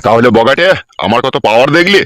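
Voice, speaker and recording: A man's voice: mid-range voice, expressive, fast, a bit bright, dry recording, soft background music, lightly acted. A man playing a bossy, crafty, foul-mouthed village uncle in a comic cartoon, scolding and boastful. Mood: brash, exasperated. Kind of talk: amateur comic cartoon dialogue.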